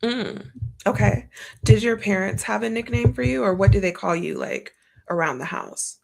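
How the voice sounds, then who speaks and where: A woman's voice: medium pitch, moderate pace, creaky, poor audio. A woman hosting a podcast interview, asking questions and affirming her guest encouragingly. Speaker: podcast host.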